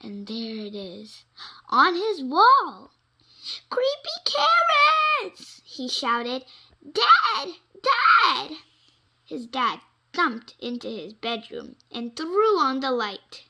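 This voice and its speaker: A woman reading children's stories aloud in an expressive, dramatic way, with suspenseful pauses. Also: fairly high pitch. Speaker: storybook reader.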